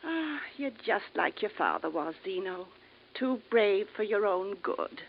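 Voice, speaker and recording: A woman's voice: medium pitch, expressive, measured pace. A woman playing a doting, worrying older Martian mother in old-time radio comedy. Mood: doting, worried. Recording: dull, noisy old radio broadcast.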